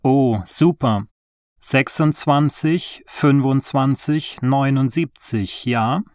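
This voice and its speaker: A man reading scripted lines for a language listening exam, slowly and carefully. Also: medium pitch, a little mellow, no room sound, studio quality. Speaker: exam dialogue reader.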